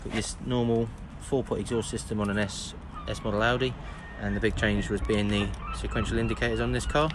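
A man's voice: lowish voice, fairly quick, hardly any pauses. A man with an English accent, casually narrating a walkaround of a car on a dealer forecourt while filming on his phone. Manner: plain, relaxed commentary with repeated fillers. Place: outdoors on a used car forecourt, then inside the car. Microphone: phone camera held by the speaker. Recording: phone video with clear background noise.

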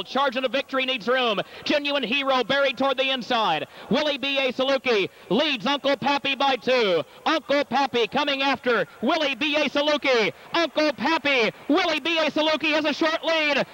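A man's voice: extremely high pitch, poor audio. A man working as track announcer, reading the entries and calling a harness race at a fair track. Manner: rapid, rising excitement in the stretch call. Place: racetrack announcer's booth at a fair.

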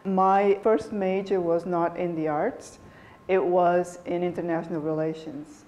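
A woman from Portugal, a native Portuguese speaker, giving an unhurried talk about her background as an artist and professor, pausing and restarting now and then. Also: on the low side, a little mellow. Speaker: guest artist and art professor.

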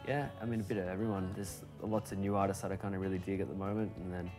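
Young man, very low voice, relaxed rambling chat, bar booth, faint music behind. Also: racing. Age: young adult. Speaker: musician guest. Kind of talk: casual interview chat.

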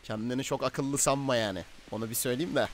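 A man playing a commanding, stern gang boss in improvised game roleplay, domineering and quick to order and threaten. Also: mid-range voice, fairly even intonation, slight background noise, lightly acted. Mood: menacing. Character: commanding male crime boss, stern and menacing.

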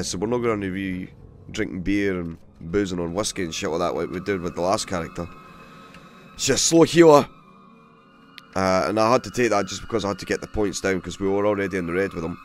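Man, hoarse, gravelly, has a cold, lowish voice, swears a lot, rambling gameplay chat. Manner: swears freely, rambling and chatty. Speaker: let's play host. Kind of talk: casual gameplay commentary.

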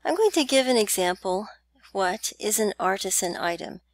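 A woman teaching essay writing over slides, talking in a relaxed, chatty way with small laughs and ums. Her voice sits at a middle pitch. The recording is dry and close, with no room sound. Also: normal speed, no echo. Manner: chatty, with light laughs and fillers. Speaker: writing instructor. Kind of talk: narrated slide lecture.

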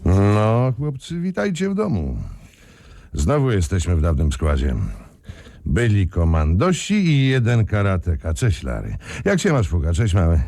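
An actor playing a calm, commanding leader of an ex-commando gang in a radio crime play, self-assured and giving orders. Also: male voice, on the low side, measured pace, no echo, soft background music, exaggerated voice. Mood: jovial, welcoming.

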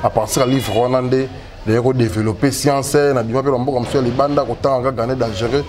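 A man's voice: medium pitch, steady tone, fairly quick, a little mellow. A man from Congo being interviewed on a studio set, giving rambling, repetitive answers. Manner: rambling, repetitive, mixing languages. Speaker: interview guest, self-described magician. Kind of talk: casual interview. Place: small studio with a branded backdrop. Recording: noisy studio recording.

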